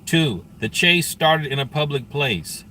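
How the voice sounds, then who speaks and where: A man's voice: fairly high pitch, animated, a little slow, pushed and bright. A man giving casual live-stream commentary on police scanner calls, conversational and thinking aloud. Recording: faint ambient vehicle and scanner noise.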